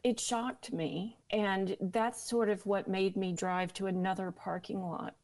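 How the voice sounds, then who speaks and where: A woman interview guest recounting her own experience in a candid, emphatic way, with dramatic pauses on key words. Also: medium pitch, fairly even intonation, a little mellow, no room sound. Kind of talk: personal storytelling in an interview.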